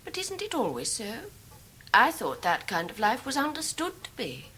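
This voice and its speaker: An actress playing a sharp gentlewoman of about thirty with an English accent, insinuating and falsely innocent, in a radio drama. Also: a little high, wildly swooping pitch, normal speed, husky, full character voice. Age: 30s. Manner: falsely innocent, probing, archly emphatic questioning. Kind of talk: 1990s radio drama acting.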